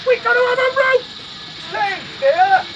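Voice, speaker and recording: An actor playing an urgent rescue worker calling out orders in a radio drama. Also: male voice, very high voice, a little slow, highs cut off. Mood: urgent, commanding. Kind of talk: BBC radio drama acting. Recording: noisy crash scene, distant voice.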